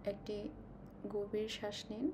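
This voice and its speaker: A woman guides a meditation slowly and calmly, giving instructions with long pauses. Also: medium pitch. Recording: clearly noisy, ambient sound behind voice.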